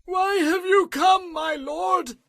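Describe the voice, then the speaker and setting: A man's voice: very high-pitched, slow, fairly bright tone, no echo, raised voice, studio quality, full character voice. A man voicing a humble, eager landowner who speaks deferentially to his king in dramatized scripture audio. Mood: surprised.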